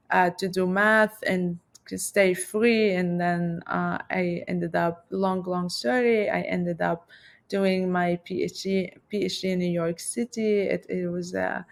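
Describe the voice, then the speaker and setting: A woman's voice: lowish voice, creaky. A middle-aged woman from Lebanon, a math professor talking reflectively as an interview guest, with many fillers and restarts. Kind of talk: casual interview talk.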